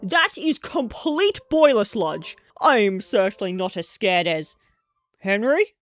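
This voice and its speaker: A woman's voice: mid-range voice, sing-song, takes it slowly, no echo. A woman voicing a pompous, blustering male steam engine in a children's story, with huffy, indignant, stammering delivery.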